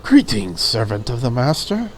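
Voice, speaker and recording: A man's voice: medium pitch, huge pitch swings, moderate pace, voice pushed down. A man voicing a haughty, formal female dragon envoy in a visual novel, stately and commanding. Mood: imperious.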